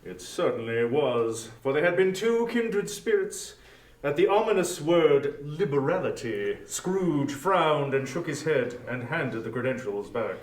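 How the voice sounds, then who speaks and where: A man's voice: fairly high pitch, lively intonation, a little slow. A man narrating a story in a scripted, dramatic style, recorded at some distance in a roomy space.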